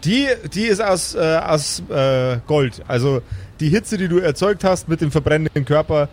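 A man's voice: fairly high pitch, lively intonation, normal speed, a little hiss or hum. A man narrating an improvised tabletop fantasy game as game master, often repeating words as he searches for the next phrase.

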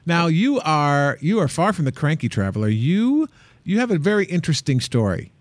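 A man's voice: a little high, sing-song, moderate pace, no echo. A man hosting a radio travel show, upbeat and joking, who often restarts his questions. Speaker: host.

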